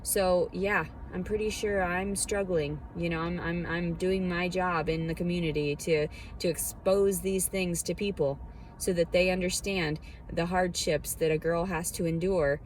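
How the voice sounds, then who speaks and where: A woman with a lowish voice vents to her viewers in a heated, defensive rant. Faint vehicle noise hums in the background. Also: steady tone, unhurried. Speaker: vlogger.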